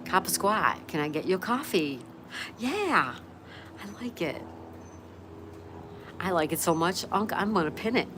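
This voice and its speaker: A woman's voice: on the low side, very animated, unhurried, long pauses, noisy background. A woman in her sixties hosting a casual livestream, chattily reading out comments and greeting viewers. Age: 60s. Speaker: livestream host.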